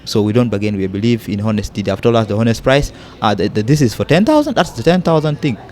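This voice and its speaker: A man's voice: fairly low pitch, expressive, fast talker, darkish tone. Blunt, emphatic young man from South Sudan, guest in a candid interview. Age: young adult. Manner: blunt, emphatic, mimics people in quotes.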